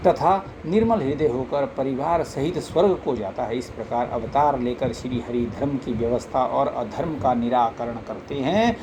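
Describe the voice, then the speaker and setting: Man, medium pitch, formal devotional scripture reading, occasional stumbles, noisy amateur recording. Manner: formal recitation with occasional stumbles and repeated words. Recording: noisy amateur recording with steady background noise.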